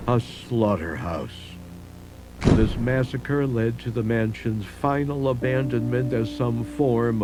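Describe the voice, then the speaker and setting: A man giving a measured, solemn scripted narration of eerie local history, like a documentary host. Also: mid-range voice, rough recording. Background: music under the voice.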